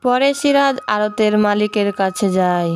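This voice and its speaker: A woman's voice: mid-range voice, moderate pace. A woman narrating a scripted children's moral story. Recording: music behind the voice.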